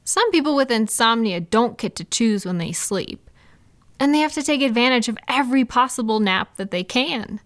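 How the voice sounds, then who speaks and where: A woman playing a supportive, gentle female friend in an intimate, casual comfort roleplay, speaking in a reassuring, validating way. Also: fairly high pitch, animated, normal speed, dry recording, lightly acted. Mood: reassuring, affectionate. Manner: reassuring, validating, softly indignant on listener's behalf. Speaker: audio roleplay performer.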